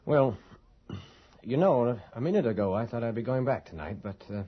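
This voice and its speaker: An actor playing an earnest, persistent investigator in a radio horror drama, educated and polite, with hesitant, articulate questioning. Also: male voice, mid-range voice, lively intonation, moderate pace, close to an everyday voice. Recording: dull lo-fi radio recording.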